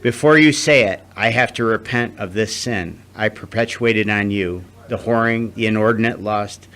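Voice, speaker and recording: A man's voice: fairly low pitch, moderate pace, a bit bright. A man playing a repentant yet defensive unfaithful husband in an audio drama. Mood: contrite. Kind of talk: scripted audio drama acting.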